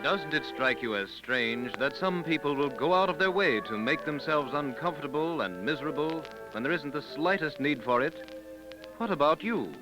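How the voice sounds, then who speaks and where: A man's voice: on the high side, low-quality recording. A man announcing in a persuasive, emphatic 1930s radio commercial style, speaking straight to the listener. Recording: old 1930s radio broadcast recording.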